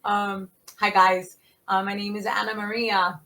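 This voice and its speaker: A middle-aged woman, raised speaking an Italian dialect, tells her story in a lively, emphatic way. Her voice sits at an ordinary pitch, and she laughs between her points. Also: a little slow, rough recording. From United States. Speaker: podcast guest. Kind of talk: casual conversation.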